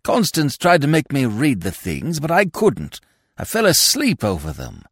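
A man's voice: mid-range voice, huge pitch swings, normal speed, breathy edge, dry recording, studio quality, pitched above the performer's own voice, full character voice. A man voicing a fussy, absent-minded old lord in an audiobook, with a stammering, blurting way of talking. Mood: flustered.

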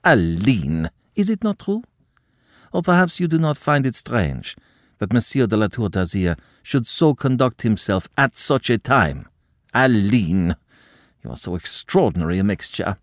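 Man doing a shrill scandalized old countess. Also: big pitch swings, measured pace, dry recording, studio quality. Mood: indignant. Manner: shocked, scolding, high-pitched. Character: haughty prudish aristocratic older countess.

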